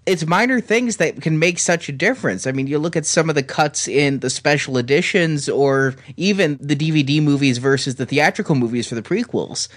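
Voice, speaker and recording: Male discussion guest, slightly high voice, fluent and opinionated, conversational delivery. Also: fairly quick, few pauses, fairly bright tone. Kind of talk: conversational commentary.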